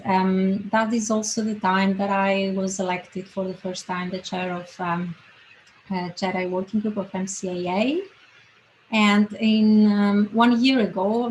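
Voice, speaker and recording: Greek-accented woman presenting, hesitant, noisy line. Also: mid-range voice. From Greece. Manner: hesitant, halting delivery with frequent ums. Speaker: research fellow, invited panel speaker. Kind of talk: informal presentation at an online roundtable. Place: online video call. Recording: noisy video call audio.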